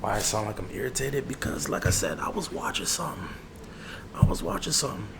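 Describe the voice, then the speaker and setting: Man playing a low-voiced, grumbling ASMR barber. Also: lively intonation. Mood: irritated. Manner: grumbling, repeats phrases, drawn-out asides. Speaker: ASMR role-play creator. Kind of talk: ASMR role-play, casual chat to the listener. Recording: clearly noisy background ambience.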